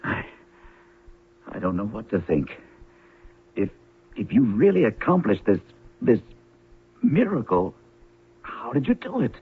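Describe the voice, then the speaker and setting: An actor playing an earnest, upright suitor, formal and skeptical, with a low husky voice in an old radio drama. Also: male voice, wildly swooping pitch, a little slow, long pauses, exaggerated voice. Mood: bewildered. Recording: lo-fi, muffled old radio broadcast.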